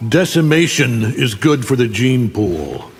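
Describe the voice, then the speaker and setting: A man's voice: mid-range voice, big pitch swings, unhurried, very noisy. A man playing an arrogant, sneering alien warrior with condescending fighting game delivery. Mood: contemptuous. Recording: very reverberant, loud music behind.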